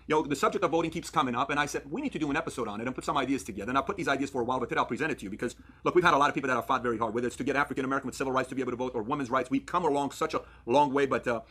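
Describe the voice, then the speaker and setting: A man from Iran presents a persuasive explainer in an earnest way. He talks extremely fast in a husky, mid-pitched voice. He often asks a question and then answers it with emphasis. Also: bright, forceful tone. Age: 30s. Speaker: video presenter.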